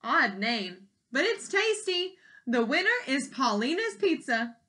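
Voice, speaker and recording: A woman voicing an imperious, capricious queen in a children's storybook, with a haughty, commanding, drawn-out delivery. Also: high voice, very animated, bright, forceful tone, voice pushed up, exaggerated voice. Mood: grudging, wheedling.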